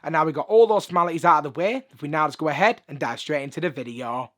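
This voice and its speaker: A hoarse man, chatting upbeat through a sneaker review in a room full of shoes. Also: fairly high pitch, quick pace, a bit bright, slight background noise. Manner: chatty, upbeat, lots of filler phrases. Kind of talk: casual video review. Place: room with shoe collection.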